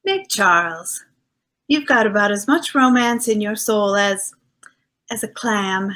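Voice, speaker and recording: A woman's voice: mid-range voice, animated, a little slow, a bit bright. A woman playing a teasing, affectionate detective's wife in 1940s radio acting style. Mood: playful teasing. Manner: playful, theatrical.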